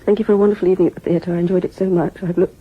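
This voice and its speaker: An actress playing a defiant, wounded young niece in a radio drama. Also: female voice, lowish voice, level tone, racing, narrow, tinny audio, noisy background, lightly acted. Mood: accusing. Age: young adult.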